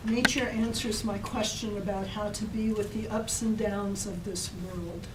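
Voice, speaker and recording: A woman poet reading her own poem to an audience, measured, with pauses between the lines. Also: mid-range voice, fairly even intonation. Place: public library reading room. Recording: echoey room, distant mic, noisy ambience.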